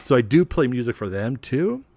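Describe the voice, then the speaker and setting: A man co-hosting a casual podcast, animated and self-deprecating, who restarts his sentences a lot. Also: mid-range voice, normal speed, gravelly, no room sound. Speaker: podcast co-host and game master.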